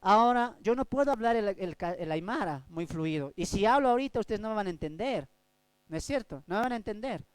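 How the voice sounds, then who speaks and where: A man from Bolivia, preaching a Bible study sermon as a pastor, swinging between loud and soft delivery. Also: high voice, lively intonation, a little fast, smooth, no echo.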